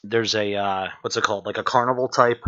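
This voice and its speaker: A man with a lowish, bright voice hosts a casual live news stream. He thinks aloud in a halting way. The audio is dull and lo-fi. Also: fairly even intonation.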